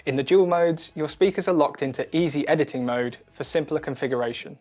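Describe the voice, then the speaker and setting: A man with a slightly high voice narrates a scripted product demonstration. He speaks clearly and methodically, walking the listener through instructions step by step. Soft music plays behind him. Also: fairly quick. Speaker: product demo presenter. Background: background music.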